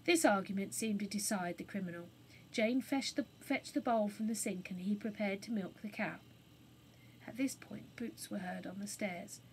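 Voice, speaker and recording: A woman storyteller giving an expressive narration of a children's story. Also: mid-range voice, moderate pace, a little hiss or hum, rough recording.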